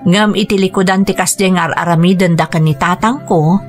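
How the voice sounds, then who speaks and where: A woman's voice: lowish voice, steady tone, fairly quick, faint music behind, natural voice. A woman acting an earnest, wounded young Ilocano daughter. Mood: sorrowful, resolute. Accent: Filipino, Ilocano. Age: young adult. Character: earnest nursing-student daughter, hurt on her father's behalf. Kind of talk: Ilocano radio drama acting.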